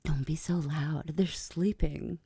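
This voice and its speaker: A woman playing a regal, teasing vampire queen in an ASMR audio roleplay, speaking in a measured, hushed manner with breathy asides. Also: low voice, steady tone, dry recording, clean studio sound, heavily acted. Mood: amused, teasing. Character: regal, teasing vampire queen, composed older-cousin type.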